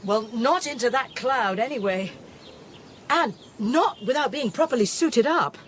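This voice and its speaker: A woman's voice: on the high side, lively intonation, unhurried, slight background noise. A woman acting in a radio drama as a wry, pragmatic investigator who stays steadfast under threat. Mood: practical.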